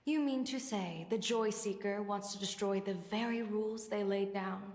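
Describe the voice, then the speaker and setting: A woman voicing a grave, thoughtful fantasy noblewoman in a video game, formal and measured. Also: mid-range voice, a little mellow, lightly acted. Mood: grave, questioning. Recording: echoing hall-like reverb.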